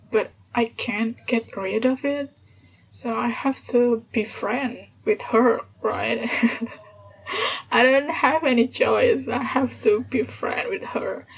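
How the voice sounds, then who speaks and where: Soft-spoken Indonesian woman, breathy and slow. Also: on the high side. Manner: hesitant, thinking aloud, light laughs. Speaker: podcast host. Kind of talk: casual solo podcast monologue. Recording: dull lo-fi sound with background noise.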